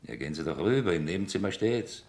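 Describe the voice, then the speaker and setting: Very deep, swooping, gruff suspicious man. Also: expressive, quick pace, a little mellow. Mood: evasive, grudging. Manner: curt, evasive, grudging. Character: gruff, suspicious male witness, defensive and irritable. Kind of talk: German radio crime drama acting.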